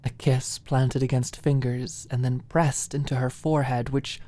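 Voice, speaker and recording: A woman with an exceptionally low voice narrates a story at a slow pace. Her delivery is scripted and soft in ASMR style, often sinking into a hush as phrases end. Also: level tone. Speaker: audiobook narrator.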